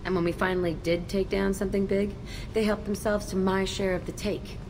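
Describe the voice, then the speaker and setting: A woman's voice: mid-range voice, level tone, brisk, noisy background, lightly acted. An actress playing a tough, cynical young female ex-convict in a video game, curt, abrasive and sardonic. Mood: bitter. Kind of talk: video game character acting.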